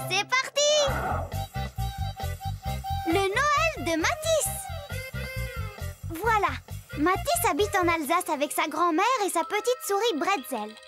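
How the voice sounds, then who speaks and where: A woman's voice: very high-pitched, exaggerated voice. A woman voicing a bubbly, chatty small child who hosts and tells stories to young viewers in a cartoon. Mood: storytelling. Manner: chatty, exclamatory, confiding. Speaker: host introducing stories. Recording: loud music behind the voice.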